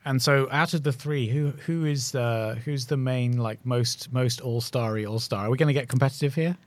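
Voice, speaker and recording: A man hosting a podcast interview from a studio, upbeat and joking, with quick reactions to his guests. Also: mid-range voice, no echo. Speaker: podcast host.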